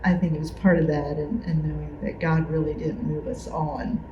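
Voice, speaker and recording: A woman's voice: deep voice, level tone, moderate pace, lo-fi sound. Lady chatting about the past, kinda hesitant, echoey room, noisy background. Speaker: longtime church member. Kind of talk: casual interview reminiscence.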